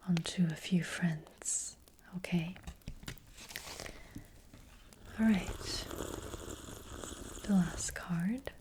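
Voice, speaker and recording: Whispering, slow, gentle witch fortune teller played by a woman. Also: on the low side, a little hiss or hum. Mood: soft, reassuring. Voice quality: breathy whisper. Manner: gentle, hesitant, slow and reassuring. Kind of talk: soft-spoken whispered ASMR roleplay. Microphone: close ASMR microphone.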